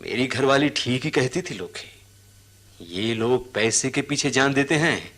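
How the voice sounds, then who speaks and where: An actor in a radio drama playing a simple, troubled elephant-catcher, plaintive and halting, often repeating his phrases. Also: mid-range voice, fairly bright tone. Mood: troubled, restless.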